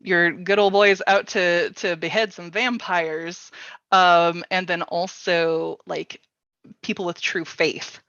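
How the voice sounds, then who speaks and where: The recording is roomy and a little dull. A woman with a slightly low voice talks casually about tabletop games. She is informal and halting, thinking out loud as she goes. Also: fairly even intonation. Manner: informal, halting, thinking aloud with many likes. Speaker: panelist at a tabletop roleplaying storytelling workshop.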